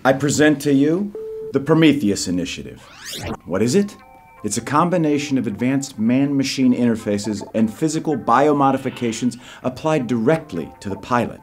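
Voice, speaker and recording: A man's voice: medium pitch, expressive, normal speed, a little mellow, faint music behind, close to an everyday voice. Actor as slick salesman of military tech. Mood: smooth, assured. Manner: smooth, polished, salesmanlike. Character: slick civilian salesman pitching secret military program. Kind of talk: audio drama presentation speech.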